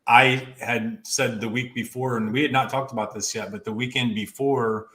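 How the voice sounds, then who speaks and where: A man's voice: medium pitch, steady tone, moderate pace, sharp tone. A man who is a real estate agent, chatting in a laid-back way as a livestream co-host. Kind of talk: casual livestream chat.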